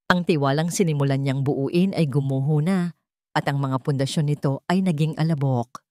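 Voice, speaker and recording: A woman's voice: low-pitched, normal speed, warm tone, no echo. A woman reading scripted dramatic narration of a romance story as the storyteller.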